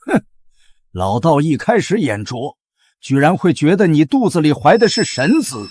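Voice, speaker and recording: An actor playing a scheming, arrogant old Taoist priest who sneers with contempt in a costume drama. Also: male voice, a little high, sing-song, moderate pace, darkish tone, husky, no echo, clean studio sound. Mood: sneering. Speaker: villain.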